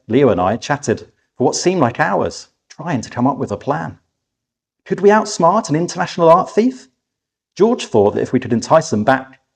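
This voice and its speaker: Slightly husky man reading a children's story. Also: medium pitch, darkish tone. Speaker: author reading a competition-winning story aloud. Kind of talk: expressive children's story narration.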